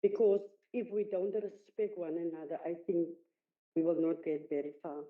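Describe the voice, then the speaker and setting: A woman's voice: fairly low pitch, level tone, moderate pace. A woman with an Afrikaans accent, speaking as a councillor and earnestly pleading for respect at a council meeting. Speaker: municipal councillor. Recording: tinny phone-like sound.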